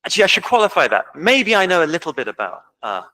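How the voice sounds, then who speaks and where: English guy lecturing at a conference, chatty, lots of ums, bright crisp voice. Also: high voice, sing-song, quick pace. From England. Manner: informal, self-deprecating, many ums. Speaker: conference speaker. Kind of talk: academic lecture. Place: lecture hall.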